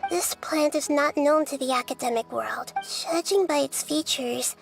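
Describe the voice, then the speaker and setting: A high, young girl's voice, gentle and wise beyond her years. The small deity speaks in a measured, thoughtful game-dialogue style over clear background music. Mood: troubled. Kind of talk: animated game voice acting.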